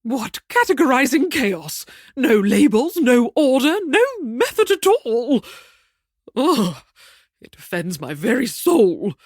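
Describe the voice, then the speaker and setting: A fussy, order-loving queen played by a woman in an audio comedy. She speaks slowly and primly, her high voice swooping with exclamatory indignation. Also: sing-song, slightly rough, no room sound, studio quality, heavily acted. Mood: appalled.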